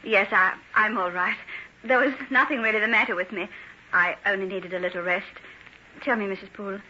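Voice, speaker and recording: A woman's voice: medium pitch, steady tone, a little fast, pushed and bright. An actress playing a genteel, devoted young lady, earnest and hesitant, in an old-time radio drama. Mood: troubled, restrained. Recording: dull, noisy old radio recording.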